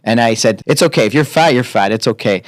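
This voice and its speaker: A man in his late thirties, a podcast guest chatting casually in a blunt, profane, animated way. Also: mid-range voice, fast talker, no echo, clean studio sound. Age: 30s. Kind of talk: casual chat.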